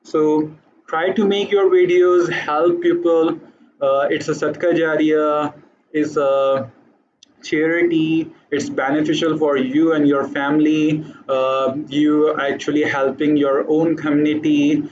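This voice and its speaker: A man's voice: a little high, level tone, slow, a little mellow, highs cut off, a little hiss or hum. A man with an Urdu accent, a native Urdu speaker, giving a hesitant motivational talk to camera, full of fillers and restarts. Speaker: vlogger.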